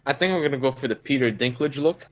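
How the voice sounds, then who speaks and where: A man's voice: medium pitch, fast, slight background noise. A man playing a tabletop roleplay game with casual, improvised banter.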